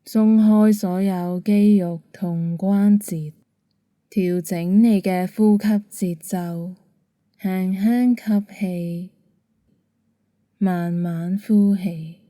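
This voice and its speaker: Slow, very mellow woman guiding meditation. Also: fairly low pitch, no room sound, clean studio sound. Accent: Hong Kong Cantonese. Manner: calm, soothing, gentle instruction. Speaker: meditation guide. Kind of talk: guided bedtime meditation narration.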